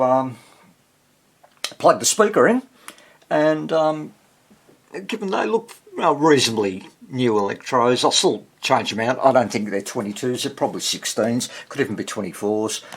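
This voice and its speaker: Husky male voice, creaky edges, casual repair walkthrough, halting self-directed muttering. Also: medium pitch, animated. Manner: halting, muttering to himself while working. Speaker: hobbyist radio restorer, presenter. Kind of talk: casual hands-on repair narration, thinking aloud.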